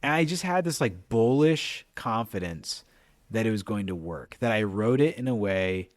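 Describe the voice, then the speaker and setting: A man's voice: on the low side, expressive, normal speed, no echo, studio quality. An American man talking reflectively in an interview as a writer and director. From central Illinois, USA. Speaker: audio drama writer and director.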